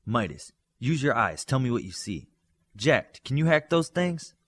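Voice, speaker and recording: A man reading the part of a bossy, analytical child who directs a superhero team, in brisk instructions. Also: medium pitch, sing-song, slightly rough. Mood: urgent.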